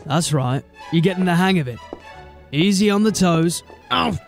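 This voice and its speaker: Grumbling fantasy watchman, fairly high voice, blunt, wry, dramatic dialogue over music. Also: lively intonation, moderate pace, vocal fry. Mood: wry, playful. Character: gruff, wry male fantasy city watch officer. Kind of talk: fantasy audio drama acting.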